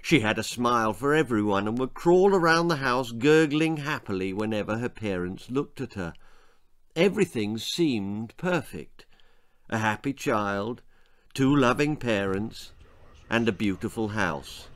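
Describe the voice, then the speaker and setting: Man, children's horror storyteller, theatrical, suspenseful, unhurried drawn-out phrasing, medium pitch. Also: animated. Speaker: author reading own story aloud. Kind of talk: dramatic scripted storytelling for children, comic horror. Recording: music behind the voice. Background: music.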